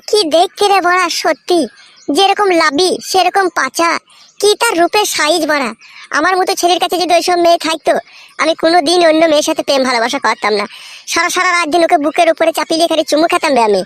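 Man doing a squeaky, fast-scolding cartoon buddy. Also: fairly bright tone, no echo. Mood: exasperated. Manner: foul-mouthed, hectoring. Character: scolding, exasperated young male friend. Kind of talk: crude comedy cartoon dialogue.

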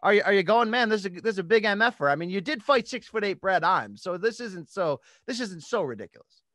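High-pitched American male sports podcast host. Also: animated, fast talker, fairly bright tone, no room sound, clean studio sound. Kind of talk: sports breakdown commentary and questions. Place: small home studio with posters. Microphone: studio mic on a boom arm, close.

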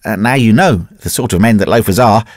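A man's voice: on the low side, brisk, no echo, studio quality, close to an everyday voice. A man voicing an earnest, plain-spoken male adventurer.

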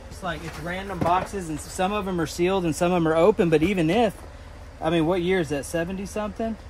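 A man in his thirties filming himself in a metal storage unit, giving excited running commentary as he digs through boxes of collectibles. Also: high-pitched, quick pace. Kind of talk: casual vlog narration. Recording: noisy storage unit ambience.

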